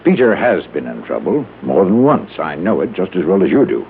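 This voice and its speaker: Prim pedantic psychologist, pompous and wordy, deep swooping voice, old radio play, narrow-band sound. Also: male voice, big pitch swings, a little fast. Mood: earnest, lecturing. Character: prim, indignant, pedantic male psychologist pleading earnestly. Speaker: radio drama character. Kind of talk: old-time radio drama acting. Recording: narrow-band vintage radio, faint noise.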